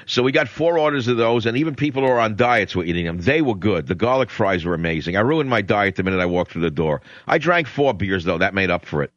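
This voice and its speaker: A man's voice: fairly low pitch, animated, fast, hardly any pauses, no room sound. A male talk radio host, sardonic and emphatic, rambling through opinions and taking calls in a studio. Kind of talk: opinionated radio monologue and call-in chat. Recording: dull lo-fi radio broadcast.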